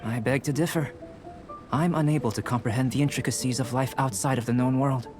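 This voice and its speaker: A man's voice: medium pitch, steady tone, lightly acted. Young male game alchemist, played by an actor: composed, cerebral, formal, mid-range voice over music. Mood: earnest. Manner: measured, formal, analytical. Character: calm, detached, scholarly young male alchemist painter. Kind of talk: video game voice acting.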